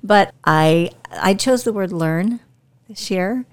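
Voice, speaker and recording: A woman's voice: mid-range voice, slow, smooth. Chatty older woman, self-laughing. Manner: chatty, upbeat, laughs at herself. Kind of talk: casual chat.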